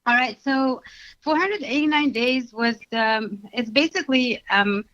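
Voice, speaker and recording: A woman from Egypt, a documentary filmmaker and former journalist, speaking on the phone in a measured way with frequent "um". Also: fairly high pitch, fairly bright tone. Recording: muffled phone line.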